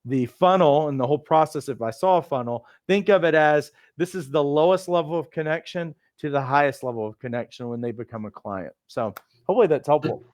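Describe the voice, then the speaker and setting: Upbeat male webinar host, higher voice, dry close mic. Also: fairly quick, hardly any pauses, darkish tone, no echo. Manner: upbeat, reads out viewer comments. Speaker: host of a coach training webinar. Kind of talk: casual live webinar chat.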